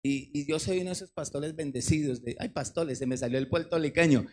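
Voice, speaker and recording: A male pastor preaching an impassioned sermon, swinging between loud exhortation and quieter explanation. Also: a little high, fairly quick, slight background noise.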